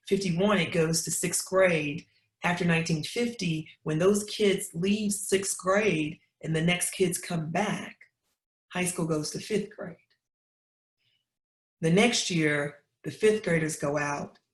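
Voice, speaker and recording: Middle-aged woman, speaker at a talk, emphatic preacher-like delivery, roomy hall sound. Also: on the low side. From Knoxville, Tennessee. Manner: preacherly, repetitive emphatic storytelling. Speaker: guest speaker. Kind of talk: storytelling talk. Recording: roomy echo.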